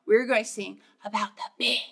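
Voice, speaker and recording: A young American woman, a children's librarian, leading storytime in an animated, encouraging way, talking directly to small children. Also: medium pitch, moderate pace, gravelly. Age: young adult. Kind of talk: children's storytime presentation and read-aloud. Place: indoors in a library, seated before bookshelves.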